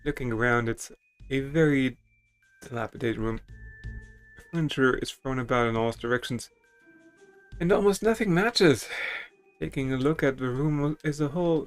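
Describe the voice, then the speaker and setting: A man reading a story game's text aloud in a dramatic style, with casual asides and music behind him. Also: mid-range voice.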